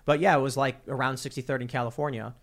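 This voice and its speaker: Fast-talking male podcast host. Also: mid-range voice. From Chicago, USA. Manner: fast, animated storytelling with many restarts. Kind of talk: casual conversation.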